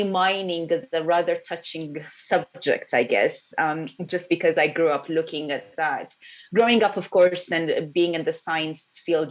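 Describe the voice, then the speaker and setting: A woman from Ethiopia speaking as a panelist in an online discussion, earnest and thoughtful, pausing to find her words. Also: low-pitched. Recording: dull lo-fi audio.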